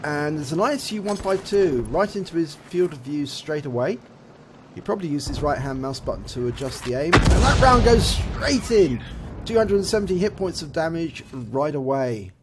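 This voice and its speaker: A man commentating on a tank game replay, a bit rough and nasal from a cold, explaining each shot and the damage it does. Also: on the high side, lively intonation, normal speed, background noise. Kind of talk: gameplay commentary over a replay. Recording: loud game battle sounds behind voice. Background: tank game gunfire and engines.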